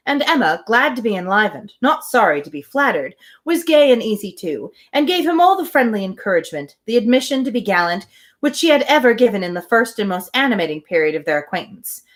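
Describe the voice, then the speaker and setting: A woman's voice: mid-range voice, big pitch swings, a little fast. A woman hosting a live reading of a novel, reading aloud with chatty, wry asides. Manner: chatty, wry commentary between passages.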